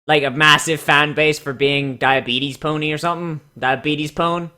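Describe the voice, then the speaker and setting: A man's voice: fairly high pitch, steady tone, moderate pace, bright, forceful tone. A man doing a sarcastic, profane read-aloud with casual commentary, mocking and theatrical. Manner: swears freely, mocking and theatrical. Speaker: host of a dramatic reading show.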